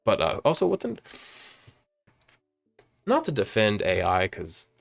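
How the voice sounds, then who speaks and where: A man in his late twenties chatting casually in a vlog, rambling and jumping up in pitch when excited, filmed on a phone at home. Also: measured pace, vocal fry, faint music behind. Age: 20s. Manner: rambling, animated, swings high when excited. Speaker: vlogger. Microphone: phone camera.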